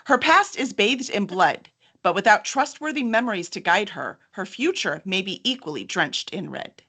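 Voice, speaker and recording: A woman's voice: mid-range voice, lively intonation, moderate pace, pushed and bright. A woman hosting an interview in a chatty, enthusiastic style, sometimes reading aloud. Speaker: host and book publisher's representative. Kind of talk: upbeat interview chat with some reading aloud.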